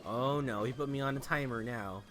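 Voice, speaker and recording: A man giving joking gameplay commentary, animated and peppered with "like". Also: medium pitch, a little fast, soft background music. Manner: animated, joking, heavy use of "like". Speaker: let's play host.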